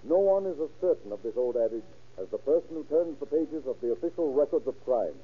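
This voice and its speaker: A man narrating a scripted 1930s radio crime show in a formal, measured, dramatic manner with a husky voice. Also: mid-range voice, animated. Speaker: narrator and announcer. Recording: dull old radio recording.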